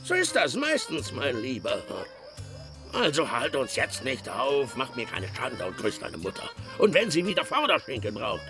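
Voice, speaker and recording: An actor in a radio drama playing a loud, cantankerous, hard-drinking pig-farmer uncle who curses and scolds. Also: male voice, medium pitch, huge pitch swings, normal speed. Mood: gruff, scolding. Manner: blustering, cursing, scolding. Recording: music behind the voice.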